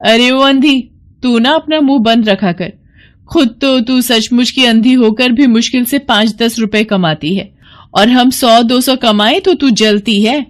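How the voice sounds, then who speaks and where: A woman's voice: fairly high pitch, measured pace, voice pushed up, close to an everyday voice. A woman playing a greedy, scornful street beggar in a moral story, brash and insistent. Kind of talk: dramatised moral story acting.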